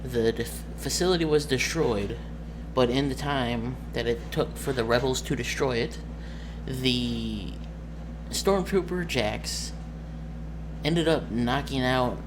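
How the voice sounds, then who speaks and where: A man speaks at a middle pitch in a casual, unscripted vlog style as a tabletop game master. He rambles, hesitating and searching for the right word. Clear room noise runs behind him on an amateur recording. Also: slow pace. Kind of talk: casual off-the-cuff vlog talk.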